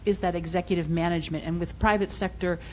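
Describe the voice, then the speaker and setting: Woman with lowish voice, faint room noise. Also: steady tone, quick pace, darkish tone. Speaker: audience member asking a question. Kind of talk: brief conference comment.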